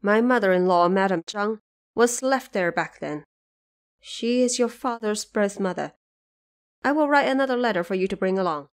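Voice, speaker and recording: A woman's voice: mid-range voice, normal speed, close to an everyday voice. A woman voicing a devoted, anxious noblewoman and mother who instructs earnestly in an audio drama.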